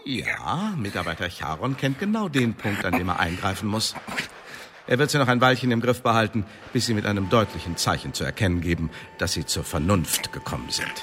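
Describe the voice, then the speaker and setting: An actor playing a cold, sardonic Elizabethan secret-service interrogator in a German radio drama, speaking in a pedantic, condescending, formal way. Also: male voice, on the low side, lively intonation, a little fast, a bit bright, slight background noise. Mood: coolly menacing.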